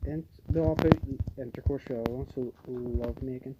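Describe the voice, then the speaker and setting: A man's voice: mid-range voice, fairly even intonation, a little fast, heavy background noise. A man chatting casually and reading a comic story aloud as a podcast host, prone to giggling. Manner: breaks into giggles while reading.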